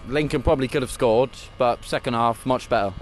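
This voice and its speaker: A man's voice: mid-range voice, brisk. A teenage male with an English accent, hosting a casual football chat with fellow fans. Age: teens. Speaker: host of post-match fan chat. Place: outside a stadium by a brick wall. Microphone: handheld phone. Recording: faint outdoor background noise.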